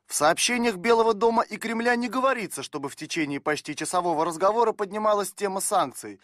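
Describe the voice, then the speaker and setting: A man's voice: high voice, expressive, fast, a bit bright, no echo, studio quality. A man reading press quotes as a scripted news voice-over.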